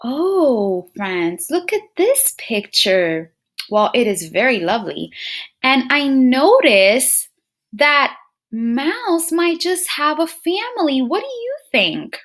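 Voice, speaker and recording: A woman teacher reading a picture book aloud to young children, expressively, pausing to muse and put questions straight to her listeners. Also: high voice. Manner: expressive, addresses children directly with frequent questions and musing hums.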